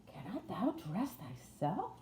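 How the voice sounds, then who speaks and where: A woman's voice: mid-range voice, sing-song, normal speed, mellow, voice pushed up, lightly acted. A woman voicing a blunt, kindly young housemaid with a Yorkshire accent in a storybook reading. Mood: amazed. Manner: plain-spoken, dialect thee and thou. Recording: roomy echo, faint noise.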